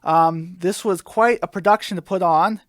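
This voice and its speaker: A woman's voice: on the low side, moderate pace, no room sound, studio quality. A woman hosting a radio show, giving a casual sign-off in a hesitant, rambling, ad-libbed way. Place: college radio studio.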